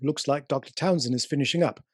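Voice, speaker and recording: A man acting as a businesslike Edwardian police inspector in a radio play, asking brisk, matter-of-fact questions. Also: medium pitch, breathy edge, no room sound, clean studio sound, natural voice.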